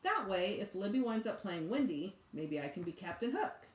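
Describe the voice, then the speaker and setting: A woman reading a children's story aloud in an expressive storytelling style, like a teacher. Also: medium pitch, normal speed. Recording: roomy echo.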